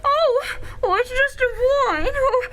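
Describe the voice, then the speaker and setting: A tipsy, wide-eyed young woman, voiced by a woman on stage sighs and gasps. Her voice is very high. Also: unhurried, noisy background. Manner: breathy gasps, tipsy sighing. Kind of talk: live old-time radio play acting.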